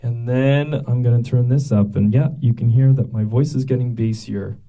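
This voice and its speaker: Male instructor, mellow voice, casual step-by-step tutorial delivery. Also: medium pitch, normal speed. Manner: explains step by step with many fillers and restarts. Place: room with a mixing board and speaker.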